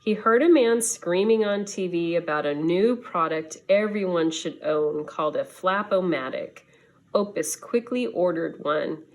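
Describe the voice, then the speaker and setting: Female storybook reader for young kids, lower register, very measured pace, expressive delivery. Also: low-quality recording. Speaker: schoolteacher reading to students. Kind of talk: storybook read-aloud for children.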